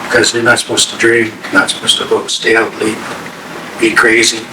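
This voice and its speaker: A man speaking as a guest at a staff workshop. He is losing his voice and talks in a slow, deliberate way with pauses. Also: lowish voice, completely flat delivery. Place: meeting room. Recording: echoey room from a distance, audible hiss.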